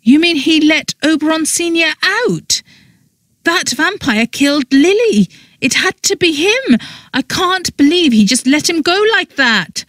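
A woman's voice: on the high side, measured pace, darkish tone, no room sound, clean studio sound, voice pushed up, natural voice. A woman voicing a self-deprecating, easily exasperated heroine in an audiobook story, speaking in an emotive, exclaiming manner. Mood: shocked, indignant.

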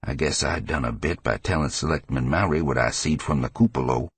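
Husky creaky old new england coot, spooked and rambling, audiobook horror tale, close dry sound. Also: male voice, a bass voice, a little fast, no room sound. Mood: conspiratorial. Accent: rural New England. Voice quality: gravelly, husky old man's rasp. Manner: rambling folksy dialect, cackling and fearful. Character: hysterical, frightened old New England drunkard telling dark secrets. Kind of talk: dramatized audiobook character voice.

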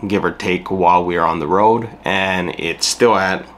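Low-voiced man reviewing gear. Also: fairly even intonation, moderate pace, a bit bright, slight background noise. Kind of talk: casual product review to camera. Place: small indoor home room.

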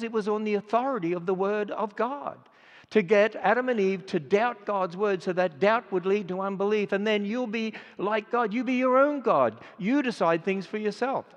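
An older man with an Australian accent preaching a sermon, stressing words and swinging between loud and quiet. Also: high-pitched. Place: large auditorium. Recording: dull sound with long hall reverb.

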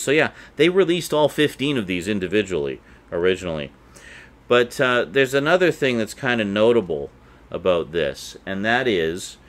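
A man's voice: deep voice, fairly bright tone. A middle-aged man, chatting informally and unscripted as he hosts a review vlog. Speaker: video host reviewing collectibles. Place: small indoor room with shelves. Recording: clearly noisy room recording.

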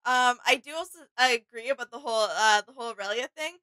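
Female co-host, twenties, fairly high bright voice, casual and a little tentative. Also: fast. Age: 20s. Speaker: reaction channel co-host. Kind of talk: casual chat. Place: small indoor home studio room.

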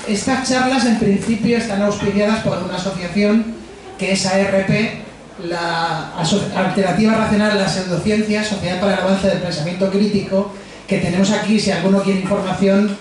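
A man introducing a speaker at a pub science talk, in a relaxed, informal way. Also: high voice, normal speed, slight background noise. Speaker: event organizer introducing the speaker. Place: pub, indoors. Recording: echoey pub room, from far off.

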